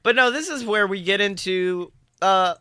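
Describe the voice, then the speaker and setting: A man's voice: high-pitched, normal speed, sharp tone, smooth, no echo, clean studio sound. A man hosting a podcast with casual banter, in a playful, slangy manner. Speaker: podcast host.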